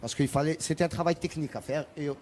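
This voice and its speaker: Arabic-speaking man with a dark voice. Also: on the high side, fast talker, slight background noise. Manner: hesitant, many repeated words and restarts. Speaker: singer, guest. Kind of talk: TV interview answers.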